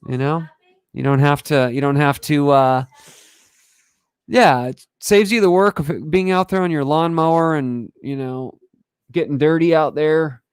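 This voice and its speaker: A hoarse man talking casually on a livestream, rambling and halting, and often clearing his throat. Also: a little high, dry recording, studio quality. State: hoarse from breathing smoke. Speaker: livestream host. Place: home in wildfire country.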